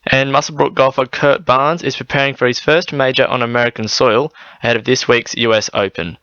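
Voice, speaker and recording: An Australian man reads scripted radio sport in a bright, forceful voice of medium pitch. The sound is very dry, as in a studio. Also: steady tone, brisk, clean tone, no echo, dull audio. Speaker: sports reader.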